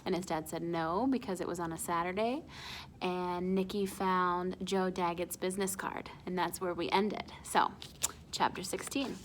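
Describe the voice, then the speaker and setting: A woman reading a children's chapter book aloud in an animated storytelling style. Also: on the low side, moderate pace, a little hiss or hum.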